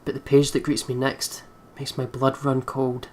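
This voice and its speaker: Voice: medium pitch, monotone, moderate pace, a little mellow, slight background noise. A game video host reading a horror visual novel aloud dramatically, with casual asides.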